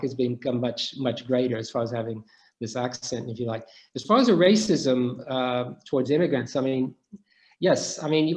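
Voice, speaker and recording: A man's voice: mid-range voice, darkish tone. Middle-aged man, academic Q&A speaker, hesitant and reflective, roomy echo. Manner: thoughtful, many restarts and hesitations. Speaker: guest speaker. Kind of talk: academic Q&A discussion.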